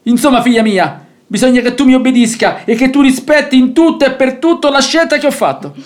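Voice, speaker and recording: A man's voice: very high voice, steady tone, fairly quick. A man playing a stubborn, domineering, pious middle-aged nobleman father in a theatrical radio comedy, blustering and exasperated. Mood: fuming, insistent.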